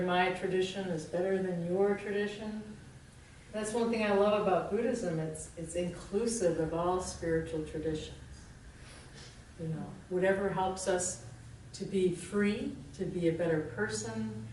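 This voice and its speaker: A woman teacher speaks casually to a gathered group, self-deprecating and quick to laugh. Her voice carries from a distance across a large, very echoey room. A faint murmur of room ambience sits underneath. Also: lowish voice, slight background noise. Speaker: spiritual teacher. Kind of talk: informal dharma talk to a group.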